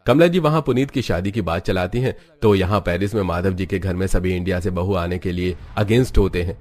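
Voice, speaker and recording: A man's voice: low-pitched, a little fast, a little mellow. A man narrating a scripted family cartoon story. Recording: background music under the voice.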